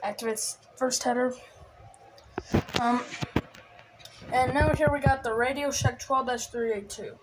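A woman casually showing off a hobby collection, halting and trailing off, recorded on a handheld phone indoors. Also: a little high. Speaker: video host showing a personal radio collection. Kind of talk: casual hobby show-and-tell. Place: small cluttered room indoors. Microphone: handheld phone camera. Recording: clicky, noisy handheld home recording.

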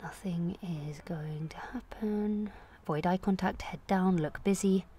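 A woman in her late twenties performing a confessional comic monologue in a candid, wry, self-questioning way, moving between confiding and animated. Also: lowish voice, measured pace, a little hiss or hum. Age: late 20s. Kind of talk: confessional comic audio monologue, present-tense narration.

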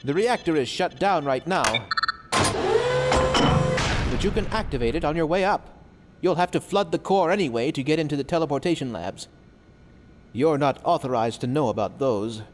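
A nervous, apologetic video game scientist with a highish, very lively voice. He speaks formally and wordily, slipping in halting asides. The sound carries a long hall reverb. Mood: instructing, confiding. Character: nervous, apologetic, talkative male scientist in a secret lab. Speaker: game character. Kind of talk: video game dialogue acting. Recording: long hall reverb, faint background noise.

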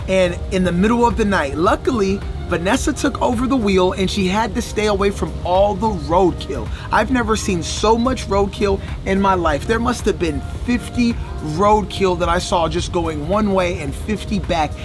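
High-voiced excitable man chatting, music underneath. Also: normal speed, few pauses. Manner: excitable, chatty, addresses viewers as guys. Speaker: vlog co-host. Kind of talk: casual recap chat.

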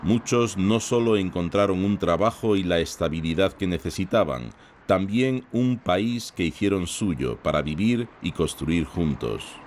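Deep formal news narrator. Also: male voice, expressive, a little mellow, slight background noise. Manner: measured, formal.

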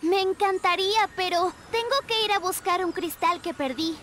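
An actress playing a helpful, polite young mermaid girl in an animated game. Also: extremely high pitch, normal speed, slight background noise. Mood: regretful. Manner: polite, obliging. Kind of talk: animated game character acting.

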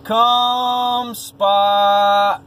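Man putting on a very high sing-song cat caller. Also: flat delivery, extremely slow, fairly bright tone, exaggerated voice. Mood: coaxing, sing-song. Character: flamboyant man next door calling his cat. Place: inside a parked vehicle. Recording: car interior, distant mic, faint noise.

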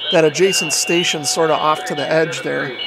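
A man's voice: a little high, fairly even intonation, moderate pace, creaky, over a noisy crowd. A man giving a casual, informal hands-on equipment tutorial in an explanatory way, recorded on a camera microphone. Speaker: radio hobbyist demonstrating equipment. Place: indoor workshop with radio gear. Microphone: camera microphone at a short distance. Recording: noisy camera mic with fan hum. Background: cooling fan noise.

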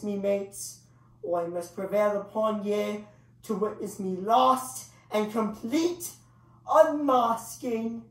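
A woman performing a dramatic monologue as a grandiloquent old English male clown, pausing for effect as he tells his bittersweet tale. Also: mid-range voice, natural voice. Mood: grand, showy. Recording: echoey room, distant microphone.

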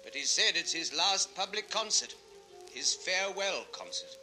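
A man's voice: high voice, animated, normal speed, bright, forceful tone, faint music behind, exaggerated voice. A piercing, gossipy townsman. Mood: knowing. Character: knowing, gossipy townsman, admirer of a composer. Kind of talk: 1960s children's record radio-play acting.

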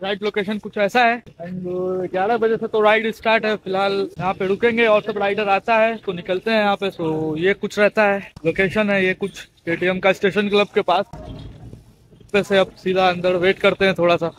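A man's voice: sits high, fairly even intonation, normal speed, low-quality recording. A young man giving casual motovlog commentary from his bike, talking directly to viewers and repeating himself. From Bihar, India. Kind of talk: casual vlog commentary while riding. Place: outdoors on a motorbike on town roads.

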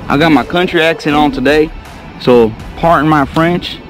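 A man's voice: fairly high pitch, moderate pace, soft background music. A young man vlogging from a kayak on an open lake, talking casually and hollering when fish bite, recorded on an action camera. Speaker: fishing vlogger. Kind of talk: casual on-the-water commentary.

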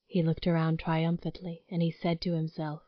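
A woman narrates a dramatic tale in a fairly low voice. Her delivery is formal, scripted and deliberate. The recording is dry, with a slightly muffled top end. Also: monotone, no room sound.

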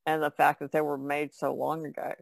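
A woman's voice: low-pitched, level tone, fairly quick, vocal fry, dry recording. A woman co-hosting a podcast, chatting casually in a plain-spoken, questioning way. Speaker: podcast co-host. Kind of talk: casual conversational discussion.

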